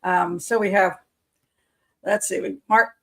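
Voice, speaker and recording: Gravelly-voiced woman hosting a live stream. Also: medium pitch, fairly even intonation, measured pace. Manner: chatty and rambling, with frequent fillers and soft laughs. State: losing her voice, clearing her throat. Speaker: criminal profiler hosting a live members' show. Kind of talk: casual live-stream chat.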